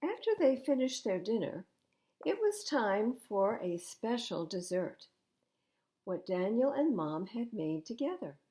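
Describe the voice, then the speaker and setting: An older woman reading picture books aloud as a library storytime host, in an expressive, animated manner. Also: mid-range voice, measured pace. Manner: animated, acting out dialogue.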